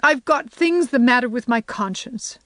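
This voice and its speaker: A woman's voice: a little high, animated, normal speed, a bit bright, no room sound, pitched above the performer's own voice. Woman voicing a tearful, earnest young teacher. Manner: sobbing, pleading, breathless. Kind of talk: storybook character reading.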